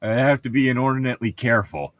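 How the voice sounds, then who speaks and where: A man's voice: medium pitch, quick pace, a bit bright, dry recording. A man co-hosting a comedic read-aloud, bantering casually with a sarcastic, teasing manner and ready laughter. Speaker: co-host of a comedic book-reading show.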